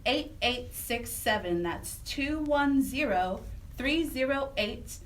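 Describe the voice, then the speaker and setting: A woman's voice: high voice, expressive, slow, roomy sound. A woman co-hosting a talk radio show, chatting casually and interviewing. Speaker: radio co-host. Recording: distant mic, noticeable background noise.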